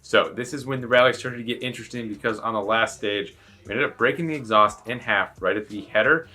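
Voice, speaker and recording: A man's voice: lowish voice, a little fast, pushed and bright. A man giving a casual, chatty, rambling explanation as a rally driver introducing his video.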